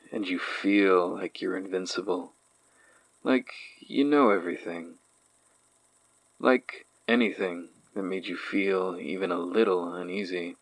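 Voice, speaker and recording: A man's voice: low voice, fairly bright tone, no echo, exaggerated voice, rough recording. An actor playing an uneasy man haunted by childhood memories, giving a hesitant, reflective testimony in a horror audio drama. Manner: hesitant, reflective, sighing delivery.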